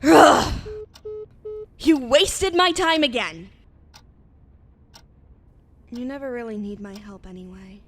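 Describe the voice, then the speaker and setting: Woman doing an exasperated anime daughter, high voice, takes her time, bit of background noise. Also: very animated, slow, pauses often, full character voice. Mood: annoyed. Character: exasperated young woman, fighter's daughter, suspicious and caring. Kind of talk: fan-made anime roleplay voice acting.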